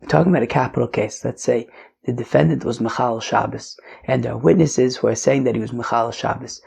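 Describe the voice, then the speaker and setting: Hoarse man teaching Talmud casually. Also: medium pitch, a little fast, a little mellow. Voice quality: raspy, drops to whispering. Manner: casual, with fillers and mixes in Hebrew and Aramaic terms. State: losing his voice, hoarse. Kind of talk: daily Talmud lesson.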